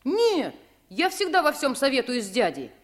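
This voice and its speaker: An eager young boy in a 1950s radio play, her voice high and animated, bouncing with each line. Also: moderate pace. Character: young French boy, lively and loyal.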